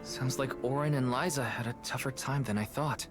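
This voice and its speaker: A man's voice: medium pitch, normal speed, lightly acted. Man playing an earnest young hero, music behind. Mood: sympathetic. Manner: earnest, expressive. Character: earnest young male soul guard hero, loyal and emotional. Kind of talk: video game cutscene acting. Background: background music.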